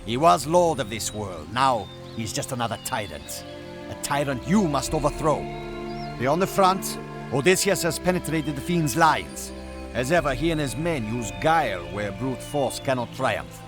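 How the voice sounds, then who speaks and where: A grave, weary ancient warrior acted by a man for a video game. His mid-pitched voice is earnest and declamatory, set over clearly audible music. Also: lively intonation, moderate pace, natural voice. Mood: grave, urgent. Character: grave, weary warrior shade rallying a hero. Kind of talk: scripted video game acting.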